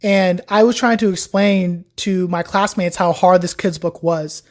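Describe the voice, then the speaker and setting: A woman's voice: on the low side, a little slow. A young woman, a guest on a podcast, chatting casually about learning Chinese, telling her story with lots of "like". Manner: chatty, animated storytelling with frequent "like". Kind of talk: casual interview chat.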